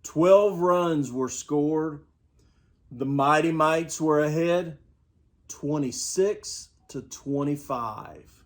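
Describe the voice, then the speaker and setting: An older man reading a children's storybook aloud with expressive, deliberate storytelling. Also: fairly high pitch. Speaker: grandparent storyteller. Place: outdoors.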